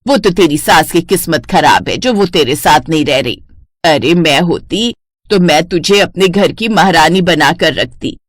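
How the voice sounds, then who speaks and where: A woman's voice: low voice, lively intonation, a little slow, dry recording, clean studio sound, voice pushed down. A woman voicing a complaining older mother-in-law in a cartoon family story. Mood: gushing. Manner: complaining, nagging. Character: scolding older mother-in-law, grudging and quick to praise others.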